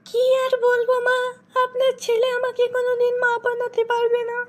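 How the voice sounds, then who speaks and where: Very high female cartoon voice, quick-tempered young wife, scolding, exaggerated comic dubbing. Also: monotone. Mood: indignant. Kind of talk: comic cartoon dubbing in short joke skits.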